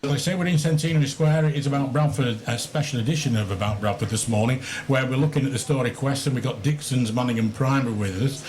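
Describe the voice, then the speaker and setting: A man's voice: medium pitch, quick pace, few pauses. A man hosting a live radio interview outside, encouraging and repeating back what guests tell him. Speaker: radio host. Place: outdoors in a city square. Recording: outdoor broadcast with steady background noise. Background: outdoor ambience.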